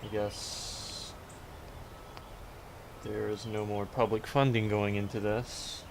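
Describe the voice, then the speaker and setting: A man with a congested voice giving a dry, sarcastic narrated tour of a run-down cemetery, often halting and correcting himself. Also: lowish voice, level tone, creaky, noisy background. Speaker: video host.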